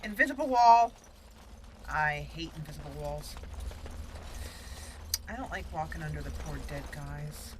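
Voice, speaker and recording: A woman's voice: deep voice, lively intonation, measured pace, long pauses, a little hiss or hum, low-quality recording. A woman casually commenting on her role-playing game as she plays, thinking aloud and reading out the game's text. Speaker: let's play host.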